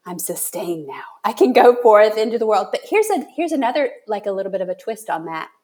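A woman with a mid-pitched voice chats casually as a podcast host. She speaks haltingly, thinking aloud and feeling her way toward each comparison. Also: sing-song, darkish tone. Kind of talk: casual interview chat.

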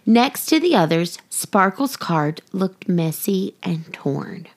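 Female children's storyteller and host. Very animated intonation, unhurried delivery, speaks directly to young kids. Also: lowish voice. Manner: addresses young children directly, expressive and deliberate. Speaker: podcast host reading a children's picture book.

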